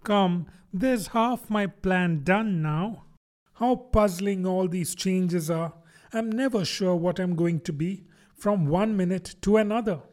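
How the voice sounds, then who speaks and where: A polite little girl, as a male narrator puts her on, speaks earnestly in a raised, light voice. She sounds careful and a bit doubtful, like a character in a storybook. The voice sits high above a grown man's usual pitch. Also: takes it slowly, darkish tone. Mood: musing. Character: truthful, puzzled little girl. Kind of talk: audiobook character voice.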